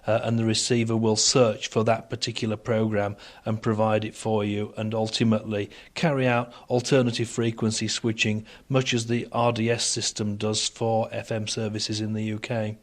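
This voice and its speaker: A man with a lowish voice and very little melody answers radio interview questions. He explains technical matters in formal, measured sentences and articulates his points with care. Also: little pitch movement. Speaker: interviewed expert on broadcast technology.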